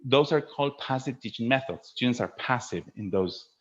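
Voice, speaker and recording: A man's voice: mid-range voice, animated, normal speed, fairly bright tone, muffled recording. A man teaching a webinar to fellow teachers in a didactic way, often checking in with a short questioning tag at the ends of phrases.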